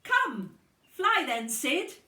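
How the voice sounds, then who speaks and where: Storybook dog father played by a woman: tender, encouraging, secretive, slow, in an echoey room. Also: sits high, sing-song, pushed and bright, pitched above the performer's own voice, exaggerated voice. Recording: roomy, distant microphone.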